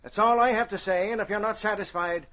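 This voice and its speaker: A muffled old radio recording of a police inspector played by a man. The voice is high and quick, firm and authoritative in the manner of a 1940s adventure serial. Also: natural voice. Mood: firm, official. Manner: brisk, authoritative.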